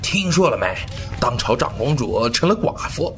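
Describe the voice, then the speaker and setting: A man's voice: mid-range voice, big pitch swings, normal speed, vocal fry. A man voicing a gossiping male shopkeeper at a teahouse in an audio drama. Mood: gossipy. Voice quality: chatty, gossipy. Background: music.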